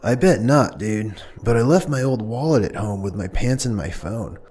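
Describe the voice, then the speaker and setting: A man's voice: on the low side, lively intonation, moderate pace, darkish tone, a little hiss or hum, close to an everyday voice. A man voicing a laid-back hippie slacker from Earth in a comedy audio drama, with a surfer-dude drawl. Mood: easygoing.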